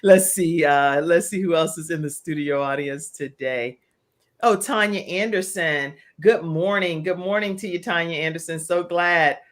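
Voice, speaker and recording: A woman's voice: on the low side, normal speed. Effusive American woman hosting a talk show. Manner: effusive, encouraging, addresses viewers by name. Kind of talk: live online talk show chat.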